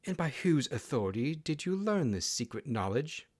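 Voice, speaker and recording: A man's voice: on the high side, sing-song, moderate pace, darkish tone, pitched above the performer's own voice. A man voicing a proud, huffy fox queen in an audiobook, with a formal, haughty way of talking. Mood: haughty, demanding.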